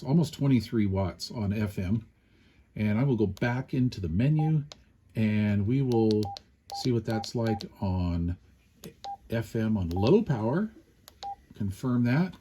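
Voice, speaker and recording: A man's voice: mid-range voice, dark tone. A man giving a casual, unscripted hands-on product review in a folksy, plain-spoken way with colloquial turns.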